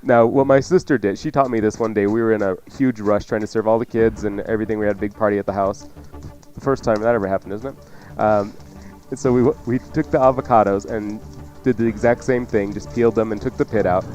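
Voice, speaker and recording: A man's voice: fairly low pitch, steady tone, fast, a little mellow. A man hosting a cooking show on the radio, chatting casually and jokingly as he gives folksy recipe instructions. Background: music under the talk.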